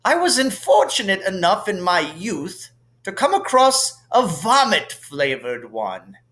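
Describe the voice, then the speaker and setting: A man voicing an elderly, genial, whimsical wizard headmaster who muses in a dreamy, unhurried way. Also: high-pitched, sing-song, a bit bright, voice pushed up, exaggerated voice. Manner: unhurried, musing.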